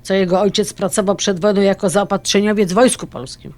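A woman's voice: medium pitch, brisk, a little mellow, natural voice. A woman playing a stubborn, possessive elderly grandmother in an audio drama, blunt, folksy and grumbling. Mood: matter-of-fact.

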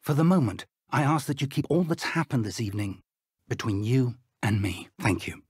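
Husky old British wizard professor. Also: male voice, mid-range voice, lively intonation, darkish tone, no room sound, clean studio sound. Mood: measured, serious. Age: older. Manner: measured, formal, thoughtful. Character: elderly scholarly wizard professor, earnest, encouraging mentor. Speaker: professor and mentor. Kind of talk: video game character dialogue.

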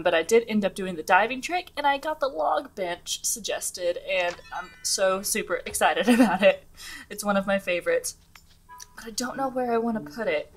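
Giggly young woman over game music. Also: mid-range voice. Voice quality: chatty, giggly, many fillers and restarts. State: scratchy morning voice. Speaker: gaming channel host. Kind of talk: casual let's-play commentary.

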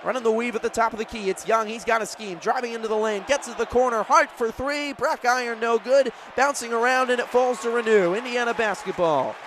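A man in his twenties doing sports commentary and reporting in crisp, polished short phrases. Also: extremely high pitch, a little fast, few pauses, crowd noise, background noise. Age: 20s. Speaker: play-by-play sports broadcaster and reporter.

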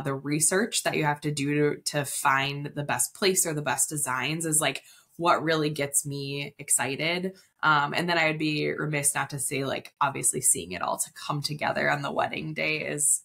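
A woman's voice: deep voice, vocal fry, pushed and bright. A woman, chatting and explaining as a guest in a casual podcast interview. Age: 30s. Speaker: interview guest, wedding planner. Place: indoor room on video call.

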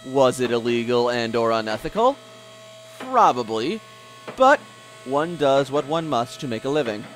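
A man's voice: medium pitch, big pitch swings, a little slow, fairly bright tone, slight background noise. A man narrating a scripted audio drama in a wry storytelling manner. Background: music.

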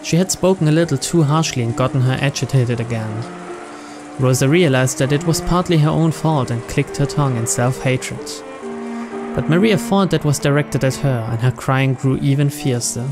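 A man's voice: mid-range voice, moderate pace, music in the background. A man reading scripted story narration as host of a visual novel playthrough.

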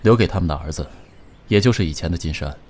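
An actor playing a composed young company heir who talks in a calm, measured, authoritative way. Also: male voice, deep voice. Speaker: company head in an audio drama. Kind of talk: amateur audio drama acting.

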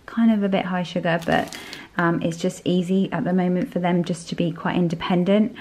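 A woman's voice: on the low side, steady tone, fairly quick, a little mellow, slight background noise. A woman in her thirties from southern England with a Southern English accent, chatting casually about her groceries and weekly meal plan in her home kitchen, with lots of "um" and "like". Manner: chatty, many fillers like "um" and "like". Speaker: family vlogger. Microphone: camera on a table facing her.

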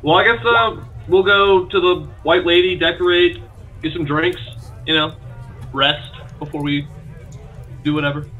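A man's voice: on the high side, unhurried. A man playing a tabletop game online, joking and swearing casually. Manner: animated, jokey, swears casually. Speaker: player. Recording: lo-fi mic.

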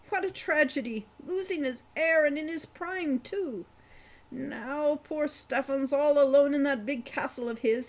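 A man's voice: very high voice, a bit bright, pitched above the performer's own voice, heavily acted. A woman voicing a gloomy, folksy old male dwarf who rambles and grumbles, in a storybook reading.

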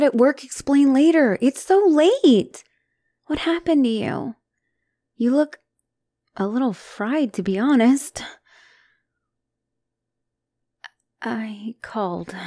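Devoted monster girlfriend played by a female actor, ASMR roleplay: mellow, dry close sound, tender, apologetic, hesitant. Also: on the high side, no room sound, exaggerated voice. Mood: worried. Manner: tender, hesitant, often dropping to whisper. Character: loving, anxious, apologetic spider-woman fiancée.

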